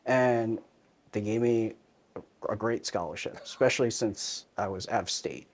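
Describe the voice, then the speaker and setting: A man's voice: lowish voice, normal speed, a little hiss or hum. A man in his thirties, born in Hong Kong and raised in New Jersey, talking casually as an interview guest with frequent fillers and restarts. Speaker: interview guest, commercial real estate advisor.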